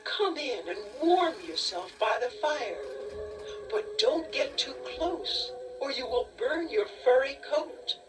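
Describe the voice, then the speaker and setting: A woman voicing a caring, welcoming mother in a children's storybook, gently cautioning. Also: high-pitched, expressive, slow, voice pushed up. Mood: sympathetic, welcoming. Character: kindly, welcoming mother of two girls. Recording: distant cassette audio with music.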